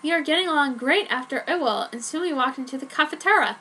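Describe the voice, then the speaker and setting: A woman's voice: high voice, lively intonation, fast talker, bright, forceful tone, rough recording. A woman giving a dramatic reading of a fan fiction story. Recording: noticeable background noise.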